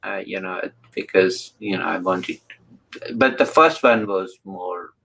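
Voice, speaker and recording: Male host, low voice, gravelly, halting, lots of fillers. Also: bright, forceful tone, low-quality recording. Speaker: interview host. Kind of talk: casual interview chat.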